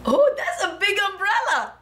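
A woman's voice: very high-pitched, sing-song, moderate pace, sharp tone. A woman in her thirties teaching young children step by step as a preschool teacher, in short, encouraging phrases with frequent check-ins. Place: indoor preschool classroom. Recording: classroom with audible background noise.

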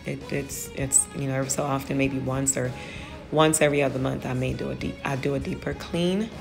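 A woman's voice: extremely low pitch, steady tone, brisk, gravelly, over music. A woman giving a casual, rambling walkthrough of how she cleans her salon, often losing her train of thought.

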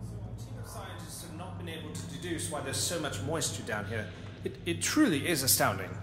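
A man's voice: a little high, brisk, lightly acted. A man playing a deferential, nervous military commander in an audio drama, with a hesitant, stammering manner. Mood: deferential, reporting. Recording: echoey cavern reverb, music behind.

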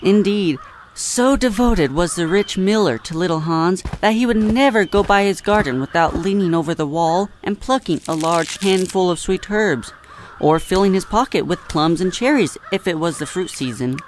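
Audio drama songbird, male actor: gentle, measured storyteller, faint background noise. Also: high voice, expressive, low-quality recording. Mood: gentle narration. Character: gentle, wise little songbird telling a story.

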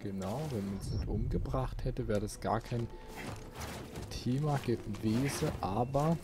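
A man's voice: medium pitch, measured pace, background noise. A man giving casual let's play commentary, thinking aloud and muttering questions to himself.

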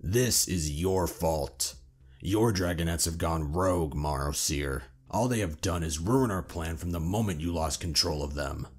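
An actor playing a quarrelsome, accusatory dragon councillor with a rough voice in an audio drama. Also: male voice, sits low, measured pace, soft background music. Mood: accusing.

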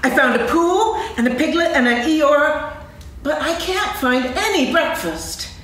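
A bouncy, eager young tiger with a high, lively voice and a slow, drawn-out delivery. He sounds caught from far across a big, echoing hall. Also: a little hiss or hum, full character voice. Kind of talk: staged reading of a stage play.

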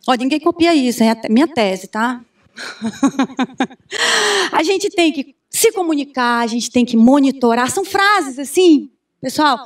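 A Brazilian woman in her thirties giving an animated conference talk on a handheld mic, often asking the audience questions. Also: high-pitched. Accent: Brazilian Portuguese. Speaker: conference speaker. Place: large conference room with audience. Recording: distant room sound from far camera.

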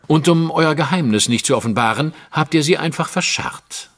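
A man acting a brilliant, self-assured gentleman detective in an audio drama, speaking with precise, theatrical, dry irony. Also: fairly high pitch, normal speed. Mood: ironic, pointed.

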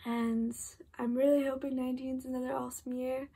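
A woman's voice: sits high, flat delivery, a little fast, mellow, husky. Sleepy, chatty teen girl vlogging. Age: 18, about to turn 19. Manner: chatty, lots of "like" and "literally", restarts. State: tired, late at night. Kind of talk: casual late-night chat to camera. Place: bedroom at home, indoors.

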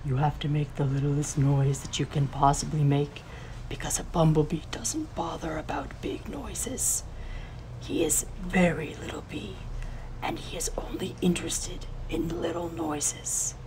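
A woman voicing a wise old male groundhog who confides advice in a hushed, secretive way. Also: low voice, a little slow, slight background noise, pitched below the performer's own voice, low-quality recording.

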